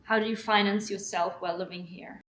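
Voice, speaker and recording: A woman hosting a student interview show, reading scripted introductions and questions in an even manner. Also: medium pitch, fairly quick. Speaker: host.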